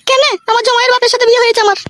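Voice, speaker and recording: A woman's voice: very high voice, level tone, very fast, a bit bright, dry recording, studio quality. A woman voicing a plaintive, insistent middle-aged village mother-in-law in a comic cartoon. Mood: puzzled.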